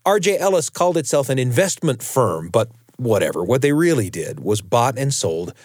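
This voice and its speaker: American guy, radio host telling stories, really lively up and down delivery, close dry sound. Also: medium pitch, normal speed, no room sound. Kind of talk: warm-up chat and personal storytelling narration.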